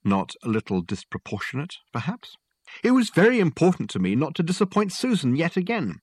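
A man's voice: a little high, wildly swooping pitch, fairly quick, breathy edge, no room sound, studio quality, pitched above the performer's own voice, exaggerated voice. A man voicing an indignant, literal-minded programmer in a storybook, always justifying himself. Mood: self-justifying. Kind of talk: audiobook character dialogue.

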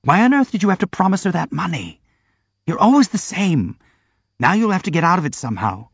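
A man's voice: sits high, very animated, fairly quick, gravelly, breathy edge, dry recording, lo-fi sound, pitched above the performer's own voice, exaggerated voice. A man voicing the general's teenage son, who talks in a blunt, chiding way.

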